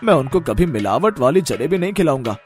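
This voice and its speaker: Poor honest sweet seller in a moral cartoon, male actor: earnest, downcast, pleading, expressive pitch. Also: a little fast, faint music behind. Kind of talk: Hindi moral-story cartoon dialogue.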